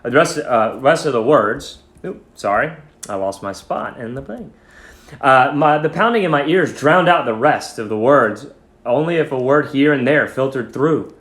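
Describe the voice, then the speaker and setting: A man giving an expressive dramatic reading of a short story, phrasing it deliberately. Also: medium pitch. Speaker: story reader. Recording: roomy echo.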